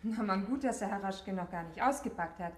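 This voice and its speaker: A woman plays a trusting, chatty housewife in an old radio drama. Her mid-pitched voice is polite and hospitable, with the echo of a room around it. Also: fairly quick, natural voice. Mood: friendly. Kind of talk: German radio play acting around 1960.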